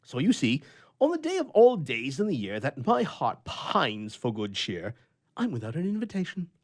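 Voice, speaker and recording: A man's voice: mid-range voice, wildly swooping pitch, moderate pace, voice pushed up. A man voicing an irritable, lonely bachelor in a storybook reading, with emphatic, indignant, old-fashioned oratory. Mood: aggrieved.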